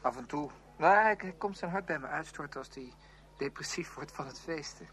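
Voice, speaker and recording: A man's voice: fairly high pitch, moderate pace, a bit bright, natural voice. An actor playing a young man, a sceptical but loyal friend, in conversational Dutch radio play style with a questioning manner. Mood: concerned. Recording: noisy old radio drama recording.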